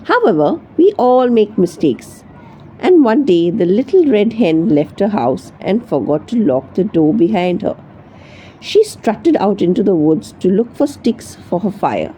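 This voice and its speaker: Female storyteller, children's read-aloud, expressive, widely varied pitch, warm dark tone, faint background noise. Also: measured pace, rough recording. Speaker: storybook reader. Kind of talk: scripted children's story narration.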